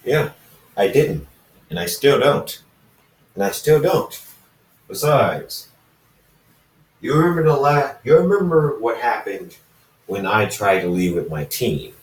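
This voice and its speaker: A man voicing an ancient, defensive, brooding immortal hybrid in a story, speaking haltingly. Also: medium pitch, big pitch swings. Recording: roomy echo, distant microphone.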